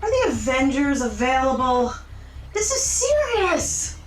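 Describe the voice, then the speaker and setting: A woman's voice: high-pitched, sing-song, measured pace, reverberant, slight background noise, low-quality recording. A woman voicing an urgent, pleading young woman in distress, exasperated and earnest, in a comic book read-aloud. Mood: frustrated.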